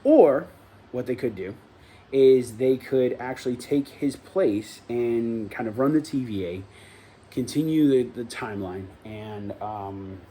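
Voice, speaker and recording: A man's voice: mid-range voice, slow pace, warm tone. A man hosting his own review show, recapping an episode in a casual, rambling way that often corrects itself mid-sentence. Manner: rambling, loose, self-correcting delivery.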